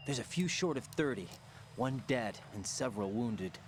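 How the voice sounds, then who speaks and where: A man's voice: mid-range voice, lively intonation, moderate pace, close to an everyday voice. An earnest young rookie operative, played by a man in a game cutscene, always asking questions. He speaks in a mid-range voice, with a faint hiss in the background. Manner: earnest, inquisitive. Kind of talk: video game cutscene acting.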